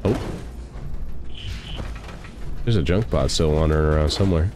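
Young man, very deep voice, stuffy nose, casual game commentary, reads text aloud, faint noise. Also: moderate pace, mellow. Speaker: gaming streamer. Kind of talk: casual let's-play commentary.

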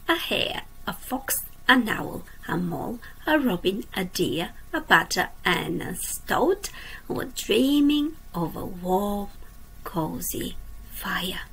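Lady teacher doing storytime, slow and soft, husky little creaky voice, whispers the line ends. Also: mid-range voice, rough recording. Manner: asks the young listeners questions. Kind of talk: children's storytime read-aloud. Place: indoors at a computer.

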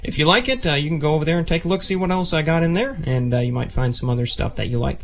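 A man's voice: on the high side, expressive, fast. A young man presenting as a campus radio DJ between songs in a casual, chatty way. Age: young adult. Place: small campus radio studio, indoors. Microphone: close studio microphone, headset monitors.

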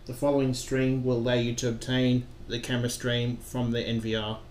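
A man with a mid-pitched, fairly monotone voice walks through setup steps as a trainer. His delivery is plain and instructional. The recording is echoey, like an untreated room. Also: brisk. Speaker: software trainer. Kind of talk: instructional screen-recording narration. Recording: roomy echo with background noise.

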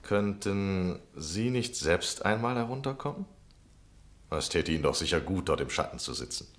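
Flattering male lodger for period radio play. Low voice, gallant, formal and courteous. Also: normal speed. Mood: coaxing. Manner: polite, formal, ingratiating. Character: courteous, flattering gentleman lodger, eager to please. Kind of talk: German radio play acting.

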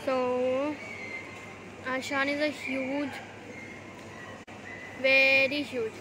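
A young woman vlogging with a phone held at arm's length, walking through a mall and then out onto a night street. She speaks simple, halting English and fluent, quick Hindi. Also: high-pitched, flat delivery, background noise. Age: young adult. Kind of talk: casual walking vlog. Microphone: phone held at arm's length, wired earphone mic.

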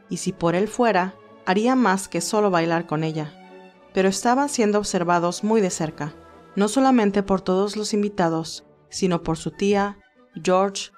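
A woman's voice: medium pitch, normal speed, a little mellow. A woman narrator reading a scripted romantic story. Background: music.

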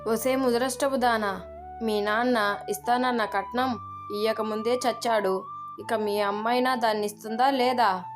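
A woman's voice: fairly high pitch, fairly even intonation, normal speed, music in the background, lightly acted. A woman voicing a harsh, greedy mother-in-law with a blunt, scolding manner in a moral story. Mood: scolding, threatening.

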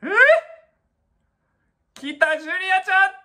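A young adult man giving casual reaction commentary, excitable and often exclaiming in awe, recorded indoors in a small room. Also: very high-pitched, a little slow. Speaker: dance reaction channel host.

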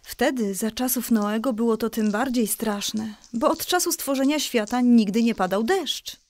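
A woman playing a gentle mother who patiently explains a Bible story in a children's audio drama. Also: fairly high pitch, normal speed, close to an everyday voice. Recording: faint music behind the voice.

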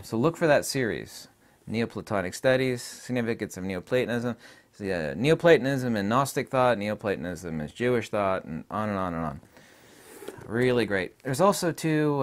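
A middle-aged man talks through book picks from his home studio desk on a lapel mic, emphatic and opinionated, often trailing off with fillers. His voice is low and a bit thickened by allergies, yet it swings widely up and down as he gets going. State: voice deepened by allergies. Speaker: book reviewer and channel host. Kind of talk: casual book-recommendation monologue.

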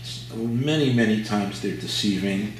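An older man, giving a relaxed painting demonstration at his easel and thinking aloud with fillers and restarts. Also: on the low side, measured pace. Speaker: painting instructor. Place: art studio at an easel, indoors. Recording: very echoey room, mic far off, audible noise.

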